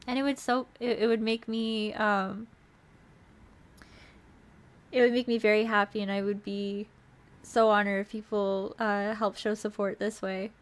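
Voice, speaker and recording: A woman's voice: mid-range voice, flat delivery, measured pace, pauses often. A woman streamer who narrates a visual novel aloud and chats casually with viewers. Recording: music playing behind the voice. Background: music.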